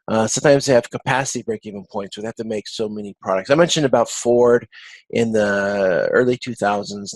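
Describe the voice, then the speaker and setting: A man giving an informal slide lecture to a class on a video conference, explaining haltingly and often repeating words. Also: mid-range voice, no room sound, low-quality recording. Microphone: video conference call.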